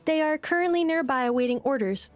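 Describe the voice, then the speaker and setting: A woman voicing a calm, precise female robot messenger who speaks in formal, clipped reports. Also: high voice, fast talker, no echo, faint music behind, close to an everyday voice. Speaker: game character.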